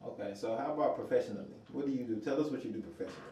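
A man hosts a podcast conversation in a relaxed, eager way, often pausing on fillers like "like" and "um." His voice has a medium pitch. The room around him gives it a noticeable echo. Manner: enthusiastic, loose, filler-heavy. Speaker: podcast host. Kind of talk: casual interview hosting. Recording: roomy echo.

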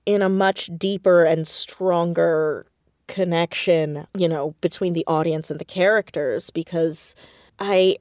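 A woman talking casually as an interview guest about her writing, with animated, self-deprecating storytelling and emphatic stresses on words. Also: on the low side, measured pace, no echo, clean studio sound. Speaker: podcast guest, audio drama writer and creator.

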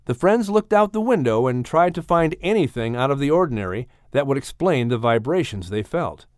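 A male audiobook narrator tells an adventure story with theatrical expression. His pitch swings in a very lively way, and the sound is dry and close, with no room to it. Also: brisk, dry recording. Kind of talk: dramatic scripted storytelling.

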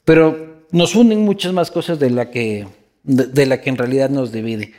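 A man's voice: mid-range voice, normal speed, darkish tone. A man from Ecuador with a Quito accent, chatting casually and bluntly, swearing freely and repeating himself for emphasis. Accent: Quito Ecuadorian Spanish. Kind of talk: casual chat.

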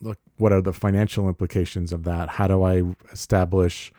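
A man co-hosting a podcast, speaking in a measured and thoughtful way with some halting restarts. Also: low-pitched, flat delivery, dark tone, no room sound, studio quality. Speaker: podcast co-host. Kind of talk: reflective conversation.

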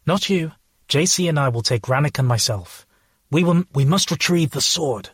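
An actor playing a purposeful alien inventor who explains things formally, in an audio drama. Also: male voice, fairly high pitch, expressive, normal speed, a bit bright, breathy edge, no echo, studio quality. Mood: businesslike.

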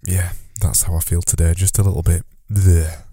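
A man's voice: sits low, flat delivery, normal speed, warm tone, no echo, clean studio sound. A man with a cold and a nasal, stuffy voice, hosting a solo podcast in a rambling, self-deprecating but motivational way. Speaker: podcast host.

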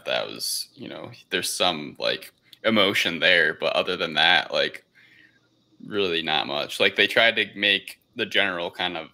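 Very deep, gravelly, bright-edged male voice. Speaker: podcast co-host. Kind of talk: casual film review discussion.

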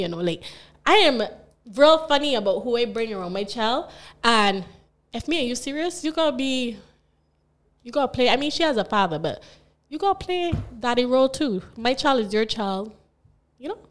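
A woman's voice: on the high side, animated, moderate pace. A young woman from Barbados with a Bajan accent, hosting a casual, playful podcast chat with a friend and rambling between giggles. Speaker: podcast host.

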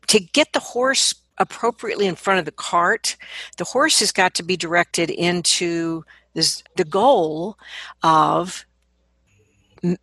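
A middle-aged woman, a vocal coach, explaining things conversationally as an interview guest, in an animated manner. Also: fairly low pitch, slightly rough, no room sound.

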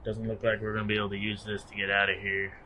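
A man's voice: fairly low pitch, monotone, very fast, bright, forceful tone, slight background noise. A man talking casually as a player in a tabletop roleplaying game, describing what his character does. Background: faint music.

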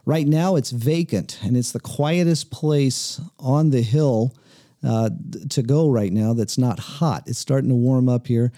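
A man hosts a casual interview around a small table in a cabin living room. His voice is very dark and mellow, and it sits in a dry, close sound. He talks in a relaxed, rambling way and often repeats his words. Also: mid-range voice, unhurried, no room sound. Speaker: host. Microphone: table mic.

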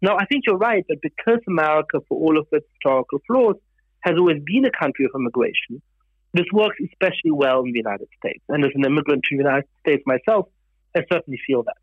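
A man, an author raised in Germany, explaining his views in a panel discussion in a measured way, with frequent fillers and restarts. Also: fairly high pitch, lively intonation, no room sound, lo-fi sound. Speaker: author and guest.

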